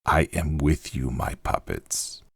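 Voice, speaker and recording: Weary old puppet maker, low voice, slow n haunted, dramatic game journal, dry close sound. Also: male voice, steady tone, creaky, slightly rough, dry recording, exaggerated voice. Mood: solemn farewell. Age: older. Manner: grave, deliberate, theatrical delivery. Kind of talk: dramatic game audio-log monologue.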